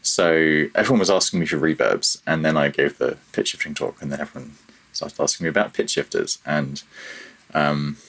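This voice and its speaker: A man with a deep, somewhat husky voice speaks as an interview guest. He explains things informally, wandering from one thought to the next in a casual conversational style. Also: steady tone, normal speed, a bit bright. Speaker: podcast guest, audio programmer.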